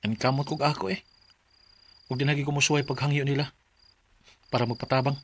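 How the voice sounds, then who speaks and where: A man's voice: mid-range voice, little pitch movement, quick pace, slight background noise, natural voice. A man acting a humble, proud widowed father of five in a Bisaya radio drama, speaking in a plaintive, earnest way with a Filipino Bisaya accent. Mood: wounded pride.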